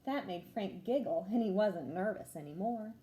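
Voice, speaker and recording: A woman teacher reading a children's storybook aloud in an expressive, clearly paced way, acting out the dialogue. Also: medium pitch, normal speed.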